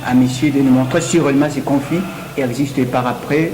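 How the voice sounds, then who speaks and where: A man with a Congolese French accent moderating a debate, summing up and handing over in a halting, stumbling way. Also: medium pitch, steady tone. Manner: halting, stumbles and repeats words. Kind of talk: spoken recap and handover in a debate. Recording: echoey room with background noise.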